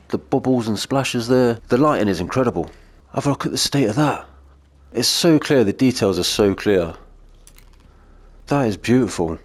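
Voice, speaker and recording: Bunged-up British man gushing over gameplay. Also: fairly low pitch. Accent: British English. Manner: enthusiastic, chatty, gushing over detail. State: congested from a cold. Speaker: gaming video creator. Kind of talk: gameplay commentary.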